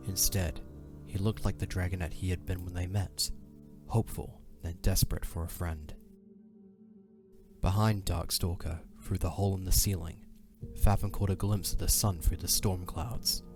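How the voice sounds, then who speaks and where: Deep-voiced man narrating solemnly. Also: steady tone, normal speed, music in the background. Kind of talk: dramatic scripted narration.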